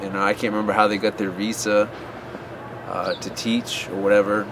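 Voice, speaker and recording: A man with a lowish voice gives unscripted advice while he rambles, fills gaps with "um" and "uh" and restarts his sentences. He is recorded outdoors in a city, and clear traffic and street noise run behind him. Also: level tone, normal speed. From USA. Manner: rambling, many fillers and restarts. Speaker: English teaching advice vlogger. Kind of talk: unscripted advice talk to camera.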